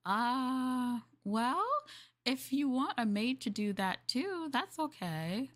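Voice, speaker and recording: A woman's voice: mid-range voice, natural voice. Woman playing a bashful, eager young maid. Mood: cheerful, eager. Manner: soft, polite, hesitant, apologetic, eager to please. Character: eager, bashful, polite young female maid serving her master. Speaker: VTuber streamer playing a maid for live chat. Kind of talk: live roleplay ASMR, improvised chat.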